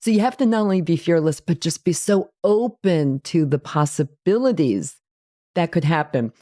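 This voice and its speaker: Mellow, low-voiced woman podcast host. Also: animated, moderate pace. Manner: effusive, encouraging. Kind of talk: conversational interview and podcast sign-off.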